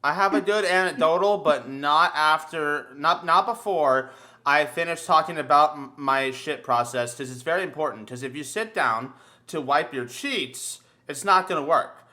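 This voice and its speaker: A man's voice: fairly high pitch, moderate pace, pushed and bright. A young man chatting casually as a podcast host, telling comic stories in a crude, sweary, theatrical way and repeating words as he builds his point. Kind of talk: casual comedic storytelling chat.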